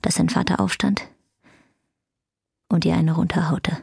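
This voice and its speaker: A woman's voice: on the low side, little pitch movement, a little slow, gravelly, darkish tone, slightly rough, dry recording, clean studio sound. A woman reading scripted narration for a radio drama. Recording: guitar music behind the voice. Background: guitar music.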